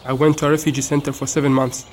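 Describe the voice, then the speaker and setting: A young man from Syria, speaking in an earnest, measured way as the one being asked questions. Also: mid-range voice, little pitch movement, darkish tone, slight background noise. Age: young adult. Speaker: interviewee.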